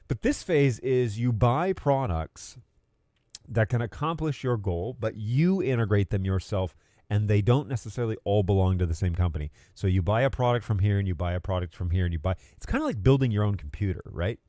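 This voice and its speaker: Mellow low-voiced man explaining casually. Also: lively intonation, a little fast, no room sound, studio quality. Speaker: presenter of a tech explainer video. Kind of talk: unscripted explainer, casual and conversational.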